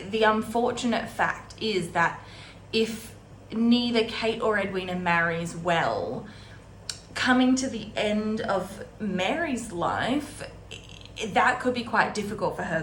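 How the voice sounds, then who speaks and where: A woman's voice: fairly low pitch, fairly bright tone. A woman chatting casually and wryly as she hosts a book discussion, often drifting into asides. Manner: chatty, wry, digressive with asides. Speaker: host of a book readalong series. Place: indoor room at a desk. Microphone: camera set on or near desk. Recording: roomy echo, background room noise.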